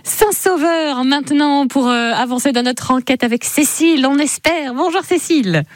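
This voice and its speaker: A woman's voice: high voice, no echo, clean studio sound. Chatty woman hosting a radio quiz. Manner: chatty, encouraging, quick interjections. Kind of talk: lively radio phone-in game.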